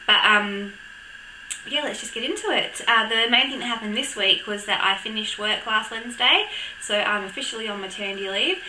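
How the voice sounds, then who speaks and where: A young woman with a croaky voice from a cold, chatting casually to camera with lots of ums and little laughs. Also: medium pitch, moderate pace, pushed and bright, reverberant, slight background noise, rough recording. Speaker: vlogger. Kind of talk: casual chat.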